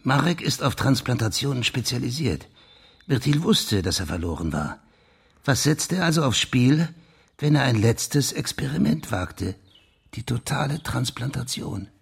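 An actor playing a police official in a German crime radio drama, insistently laying out his theory with a husky, gravelly voice. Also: medium pitch, sing-song, a little slow, heavily acted. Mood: intent, explanatory.

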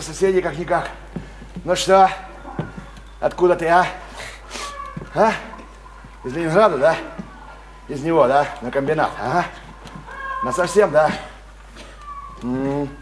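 A man's voice: fairly high pitch, natural voice. An actor playing a crude, bullying married working-class man in a Russian radio play, coarse and slangy, jeering and repeating himself insistently. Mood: cocky, prying. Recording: roomy, slightly noisy stage-like radio recording.

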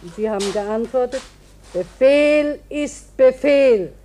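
A woman's voice: sits high, animated, slow, mellow. An actress in a radio play playing a humble, gossipy older landlady with a homely, apologetic and hesitant manner. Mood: self-justifying.

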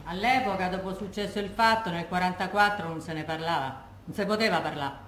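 A woman's voice: fairly low pitch, brisk, a bit bright, a little hiss or hum, close to an everyday voice. An actress playing a working-class Roman widow, telling her wartime testimony in a colloquial, vivid monologue with a Roman accent, acting out remembered dialogue. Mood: guarded, bitter. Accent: Roman Italian. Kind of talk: theatrical monologue as oral testimony. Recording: echoey room.

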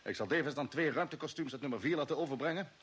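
An actor playing a decisive spaceship captain in a radio drama, giving orders with brisk authority. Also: male voice, a little high, fairly bright tone, slightly rough. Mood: commanding.

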